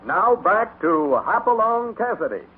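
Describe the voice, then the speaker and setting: A man's voice: sits high, big pitch swings, unhurried. A man giving dramatic scripted narration for a 1950s radio western, in a storytelling manner. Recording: tinny old radio transcription. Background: music.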